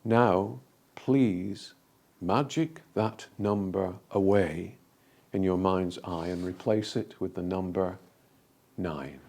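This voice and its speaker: A man leading a guided meditation in a calm, gentle, deliberate way, leaving frequent pauses between phrases. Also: deep voice, vocal fry.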